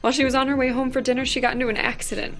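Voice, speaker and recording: A woman's voice: high voice, level tone, racing, fairly bright tone. An actress playing an earnest young female stage manager who talks in a worried way in a radio drama. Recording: radio drama with faint music.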